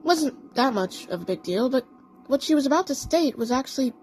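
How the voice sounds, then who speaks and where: A woman narrating a first-person story in a halting way, stumbling and correcting herself as she goes. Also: high voice, animated, a little mellow, a little hiss or hum. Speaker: narrator of a fan audio story.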